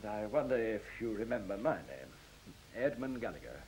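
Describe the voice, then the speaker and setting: A man's voice: on the low side, very animated, normal speed, a little mellow, slight background noise. A man playing a polite, hesitant, officious director of a secretive computer plant in a radio play.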